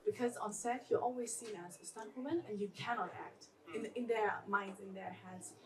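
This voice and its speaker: Upbeat woman from Germany, distant with crowd. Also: mid-range voice. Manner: upbeat, laughs often, halting restarts. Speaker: actress and stunt woman on a panel. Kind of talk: casual panel talk. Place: panel stage at a festival. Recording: distant mic with audience noise.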